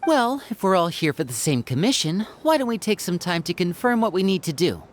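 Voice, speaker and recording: A fairly low female voice for a composed, businesslike hunter in a video game. Her delivery is deliberate and serious, yet varied in intonation. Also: sing-song.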